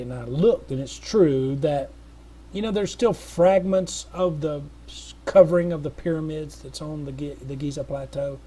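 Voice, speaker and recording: A man's voice: fairly high pitch, mellow. A middle-aged man speaking casually to viewers in an earnest, rambling way, with many restarts and fillers. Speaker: missionary making an appeal video. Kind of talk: casual spoken appeal to viewers.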